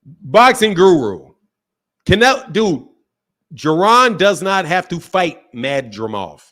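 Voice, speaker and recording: A man hosting a casual live-stream boxing talk show, reading out chat comments and reacting in an animated, repetitive way with plenty of swearing and slang. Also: high voice, takes it slowly. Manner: animated, swears freely, repeats himself.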